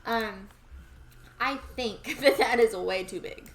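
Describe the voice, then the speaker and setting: A woman's voice: on the high side, takes it slowly, slight background noise. A woman with a Southern US accent, chatting casually to herself as she streams a game. She rambles and is easily amused.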